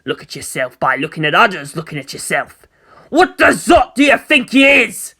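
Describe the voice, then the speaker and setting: A performer voicing a rough orc brute in a storybook reading, blustering and scolding in slangy speech. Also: medium pitch, big pitch swings, normal speed, pushed and bright, full character voice. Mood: gruff, scolding.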